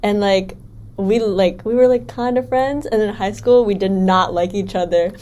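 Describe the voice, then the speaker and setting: A woman's voice: mid-range voice, moderate pace, warm tone. A young woman co-hosting a podcast in a casual, easygoing way, whose first language is Vietnamese. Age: young adult. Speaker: podcast co-host. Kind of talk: casual chat.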